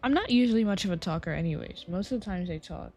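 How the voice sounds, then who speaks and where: A woman's voice: fairly low pitch, fast, faint music behind. A woman game streamer chatting casually on a live stream, her voice tired and a little scratchy. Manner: relaxed, rambling, chatty.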